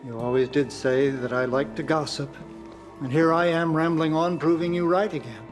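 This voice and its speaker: A man voicing a wistful, chatty young merchant in a video game, rambling and halting as he speaks. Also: on the high side, steady tone, soft background music, lightly acted. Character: grieving young man, friendly, chatty merchant guild rival.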